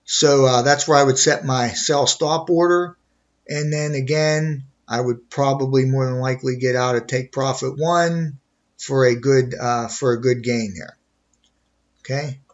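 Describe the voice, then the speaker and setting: A man's voice: mid-range voice, a little slow, dull audio. A man teaching in an informal screen tutorial, explaining things methodically step by step. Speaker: trading instructor.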